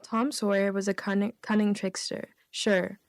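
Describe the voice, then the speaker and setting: A woman's voice: medium pitch, flat delivery, moderate pace, no room sound. Woman guest reading jokes, close dry sound. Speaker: special guest on a comedy radio show. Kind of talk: reading out written jokes with casual asides.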